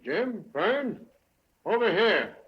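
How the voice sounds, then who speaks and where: An actor playing a confident male police inspector who gives brisk orders, in an old radio drama. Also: high-pitched, big pitch swings, heavily acted. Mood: commanding. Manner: brisk, commanding. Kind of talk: 1940s radio acting. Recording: dull lo-fi old radio recording, faint hiss.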